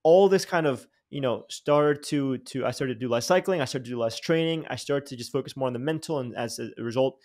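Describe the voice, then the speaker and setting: A young man hosting a podcast, talking in a lively, slangy way with many restarts and repeated words. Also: mid-range voice, brisk, few pauses. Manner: animated, slangy, says dude and bro, many restarts. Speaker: podcast host. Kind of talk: casual interview chat.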